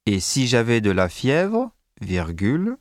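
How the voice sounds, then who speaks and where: Give me a man dictating a text slowly and clearly, with a lively voice. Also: mid-range voice, no echo, studio quality. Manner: slow, deliberate dictation with spoken punctuation. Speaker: dictation reader. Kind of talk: school dictation reading.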